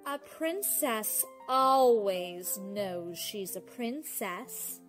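A woman's voice: mid-range voice, expressive, drawn-out words, faint music behind. A woman acting a vain, petulant young girl in an amateur radio drama, haughty and whiny.

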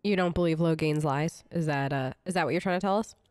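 A woman's voice: low voice, fast talker, darkish tone, clean tone. A woman doing casual role-play commentary as a let's player, conversational and improvised.

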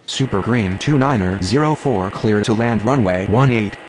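An actor playing a businesslike air traffic radio speaker in a game, formal and procedural, in clipped aviation phraseology. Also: male voice, lowish voice, normal speed, close to an everyday voice. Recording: faint vehicle and ambient noise.

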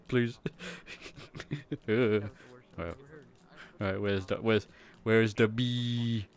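A man streaming in a virtual world, chatting casually and laughing often. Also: fairly low pitch. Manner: giggly, teasing, quick to laugh. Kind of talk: casual livestream banter.

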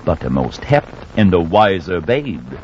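A solemn, poetic storyteller from an old radio play. His deep voice moves slowly and theatrically through the verse, on a dull, hissy recording. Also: sing-song, a little mellow. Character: solemn mock-epic male narrator of a rhymed tale. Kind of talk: 1940s radio verse narration.

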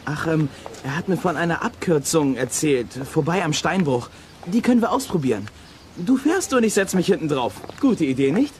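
A man's voice: fairly high pitch, sing-song, normal speed, a little hiss or hum. A man playing an impulsive, stubborn boy detective in a children's audio drama, blunt and impatient. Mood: eager.

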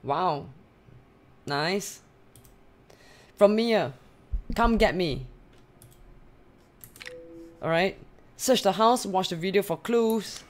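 A woman's voice: mid-range voice, extremely slow, long pauses. A young woman with a Singaporean accent, livestreaming a horror game and talking to her chat, swearing and shrieking at scares. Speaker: streamer. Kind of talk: live gameplay commentary. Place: small bedroom. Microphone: studio mic on arm with headphones.

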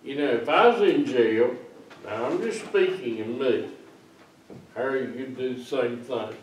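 A man's voice: medium pitch, measured pace, slight background noise. An older man, a pastor giving a folksy, teasing children's sermon while seated among the kids in a small church. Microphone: far camera. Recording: roomy church sound from far off.

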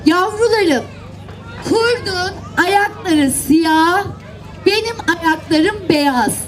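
A woman voicing a caring, cautious storybook mother goat who gives deliberate warnings to her kids. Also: very high voice, faint music behind, exaggerated voice. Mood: cautioning. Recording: outdoor event with crowd and background noise.

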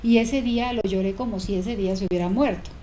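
A woman's voice: medium pitch, fast talker, dark tone, a little hiss or hum. A middle-aged Mexican woman from Veracruz, an author chatting about her writing in a small studio on a lapel mic. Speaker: author guest. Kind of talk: conversational interview. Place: small indoor TV studio set.

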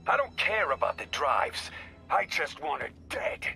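A man playing a ruthless mob boss in a video game, commanding and menacing, with a gravelly voice. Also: on the high side, very animated, a little slow, sharp tone, faint music behind, heavily acted. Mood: cold fury. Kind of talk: cinematic game voice acting.

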